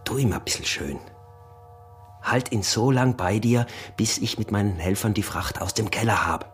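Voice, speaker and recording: Male actor doing deep-voiced austrian peasant smuggler, sly scheming husband, pushy, old-time village radio play. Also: normal speed, faint music behind, close to an everyday voice. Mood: coaxing, sly. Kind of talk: radio drama acting in dialect.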